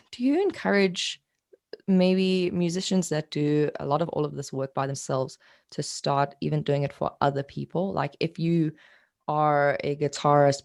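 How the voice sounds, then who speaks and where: Low, creaky-voiced woman interviewing. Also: a little mellow. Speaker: podcast host, interviewer. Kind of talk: casual interview.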